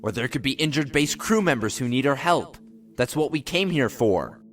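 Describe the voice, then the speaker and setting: An actor playing an earnest, persistent, dutiful ensign in a science fiction audio drama. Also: male voice, mid-range voice, expressive, normal speed, a bit bright, recorded from a distance, soft background music, natural voice. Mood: earnest, insistent. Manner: earnest, pleading with superior.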